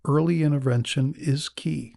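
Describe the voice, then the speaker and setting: A man playing a calm, attentive neurologist in an acted exam-practice consultation, explaining in a measured way with small hesitations. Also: on the high side, vocal fry, dry recording, clean studio sound. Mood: serious, explanatory. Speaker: narrator and actor in a listening exam practice.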